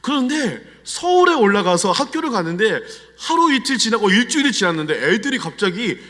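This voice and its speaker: A middle-aged Korean pastor with a Chungcheong accent, telling personal stories in a confiding, animated sermon style. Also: male voice, high voice, fairly quick. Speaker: guest pastor. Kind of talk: storytelling sermon. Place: church sanctuary. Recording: long church hall reverb.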